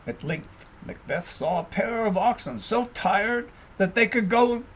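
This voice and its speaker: A male audiobook narrator with a high voice that has a rough, husky grain. His pitch swings widely as he reads a historical tale. The delivery is expressive and measured, like scripted storybook narration.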